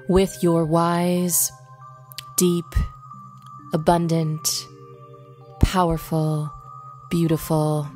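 A woman leading a guided meditation in an unhurried way, pausing between short phrases. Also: on the low side, dark tone.

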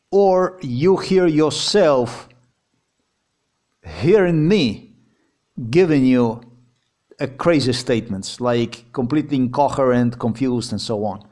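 A man's voice: mid-range voice, a little mellow. Heated Slovenian-accented man ranting. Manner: heated, repetitive, swears. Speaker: vlogger. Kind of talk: agitated personal account.

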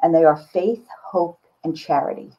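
A woman's voice: low voice, normal speed, breathy edge. An older woman artist talking candidly and casually as an interview guest over a video call. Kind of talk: casual reflective interview talk. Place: book-lined home studio room, indoors. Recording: slightly dull video-call audio.

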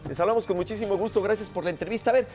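A man's voice: high voice, rapid-fire, a little mellow, faint music behind. A man co-hosting a live morning news show in a studio, conversational with hesitant restarts.

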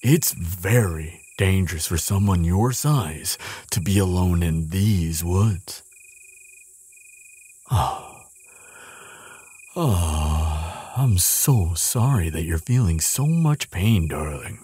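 Casting: male actor for a kindly, protective giant in an audio roleplay; very low, slow voice, tender and soothing. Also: animated, a little mellow, breathy edge, no echo, heavily acted. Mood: sympathetic, concerned. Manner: tender, reassuring, polite, slightly hesitant. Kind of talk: roleplay audio drama, speaking soothingly to the listener.

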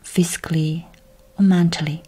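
Low, breathy woman guiding a meditation. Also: little pitch movement, normal speed. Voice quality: breathy, soft, whispery. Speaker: guided meditation host. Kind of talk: guided meditation narration.

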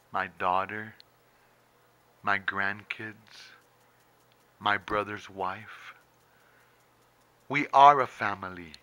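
A middle-aged man performs spoken-word poetry in a low, very forceful voice. He goes extremely slowly, leaving long pauses between short phrases. His delivery is intense and theatrical, recorded up close in a room. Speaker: poet reading own poem. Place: indoors, small book-lined room. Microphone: handheld camera very close to face.

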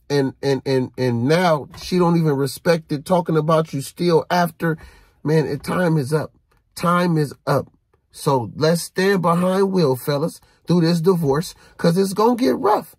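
A man in his thirties rants at his phone from inside a car, preachy and animated, circling back to the same phrases in street slang. His voice sits high for a man and has a husky edge. The sound is close and dry. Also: dry recording. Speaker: vlogger. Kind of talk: casual rant to camera. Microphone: phone held low at arm's length.

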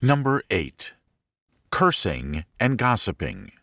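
A man with a notably low voice narrates an instructional audiobook. His delivery is deliberate and measured, setting out each point as a lesson. The sound is dry, with very little room around it. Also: big pitch swings, a bit bright, dry recording. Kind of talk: scripted audiobook narration of religious self-help.

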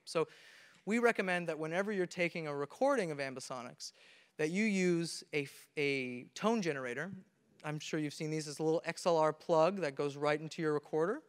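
A man's voice: on the high side, a bit bright, clear, resonant tone. A young man giving a technical conference presentation on a handheld mic, explaining things step by step in an earnest way and often restarting his sentences. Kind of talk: technical talk on stage. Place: large conference hall with audience. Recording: reverberant hall from a camera.

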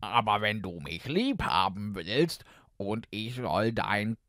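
Male narrator as storybook frog, forceful tone, drawn-out croaky delivery, swooping pitch, bargaining and pleading. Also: sing-song, dry recording, clean studio sound, heavily acted. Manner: drawn-out, punctuated by croaking quacks. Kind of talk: fairy-tale audiobook character voice.

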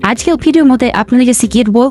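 A man's voice: very high-pitched, very fast, darkish tone, voice pushed up. A man voicing a young woman presenting a tech tutorial through a voice changer, repeatedly addressing viewers as friends. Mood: upbeat instructional. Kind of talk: scripted-sounding tutorial introduction. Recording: faint music behind the voice.

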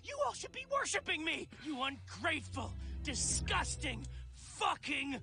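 A boastful, swearing angel leader bellows his rants in a very high, wildly swooping voice. The cartoon dialogue sits over loud show music. He stays cocky and abrasive from line to line. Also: very animated, measured pace, heavily acted. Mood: ranting. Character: arrogant foul-mouthed male first man, cocky angel leader. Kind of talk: animated musical series dialogue.

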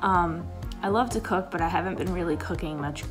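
A woman in her thirties talks loosely and chattily at her kitchen table, her voice low and a bit raspy. Plenty of fillers and restarts. Background music runs clearly under her voice. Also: fairly quick. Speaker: vlogger, paramedic. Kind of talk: casual coffee chat to camera. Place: home kitchen, indoors.

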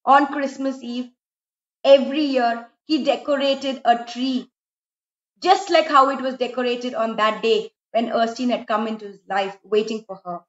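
A middle-aged woman tells a story for children in a dramatic, expressive way, with emphatic repetition. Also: a little high. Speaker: storyteller, host. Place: small room indoors at home. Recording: roomy, slightly dull home recording.

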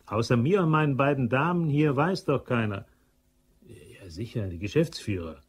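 An impatient male shopping centre manager, curt and nagging. His voice is husky and mid-pitched, with very animated intonation, in the manner of a radio crime play. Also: normal speed. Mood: businesslike, firm. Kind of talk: German radio crime drama acting.

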